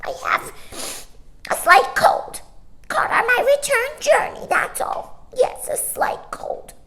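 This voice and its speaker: A woman's voice: extremely high pitch, lively intonation, slow, bright, forceful tone, voice pushed up, full character voice. A woman voicing a cheeky, boastful male starling who shrieks with laughter and talks in a wheedling way. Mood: wistful bluster.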